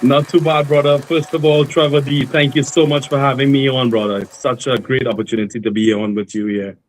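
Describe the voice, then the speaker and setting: A man, a guest singer on a remote call, telling his story in a relaxed, rambling way with many fillers and restarts. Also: medium pitch, a little fast, low-quality recording. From Trinidad and Tobago. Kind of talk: casual interview storytelling.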